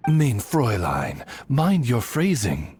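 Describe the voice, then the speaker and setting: A man's voice: mid-range voice, huge pitch swings, slow, a little mellow, slightly rough, dry recording, soft background music, exaggerated voice. A man voicing a refined raven familiar in a video game, speaking formally and deferentially like a princess's butler. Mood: dryly polite.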